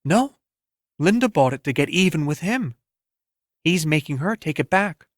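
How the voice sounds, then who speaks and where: A man's voice: fairly high pitch, expressive, normal speed, no room sound, studio quality, voice pushed up, natural voice. A man voicing a gossipy, matter-of-fact suburban mother in an audiobook.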